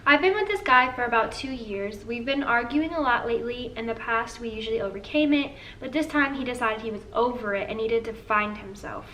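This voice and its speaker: A woman's voice: fairly high pitch, a little fast, few pauses, pushed and bright. A young woman co-hosting a casual Q&A with her boyfriend, chatty and earnest, often saying "like.". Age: young adult. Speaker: co-host. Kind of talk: casual couple Q&A chat. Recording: slightly distant with faint room noise.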